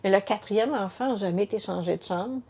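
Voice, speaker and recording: A middle-aged woman with a Quebec French accent telling her story as a mother, halting and repeating words with many fillers. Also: mid-range voice, darkish tone. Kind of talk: emotional personal testimony in conversation.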